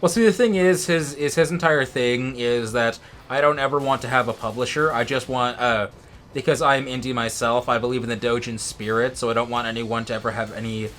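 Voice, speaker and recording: A man's voice: mid-range voice, fairly even intonation, a little fast, fairly bright tone. A man co-hosting a let's play, chatting casually as he comments on a video game. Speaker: co-host of a gaming let's play. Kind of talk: casual gameplay commentary chat.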